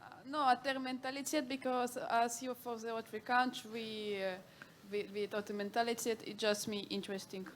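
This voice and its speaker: Woman audience member, halting and apologetic question, higher voice, faint background noise. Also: little pitch movement. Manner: hesitant, apologetic, halting English.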